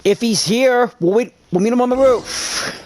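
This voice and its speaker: A woman's voice: fairly high pitch, moderate pace, a little mellow. A woman giving casual gameplay commentary and reading out dialogue choices as she plays. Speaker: gamer playing through a story quest.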